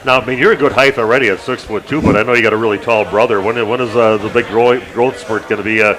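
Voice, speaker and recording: A man with a fairly low voice hosts a local sports radio show. He interviews guests casually, stalling on fillers and slipping in dry jokes. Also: steady tone, a bit bright, low-quality recording. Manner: halting, many fillers, joking.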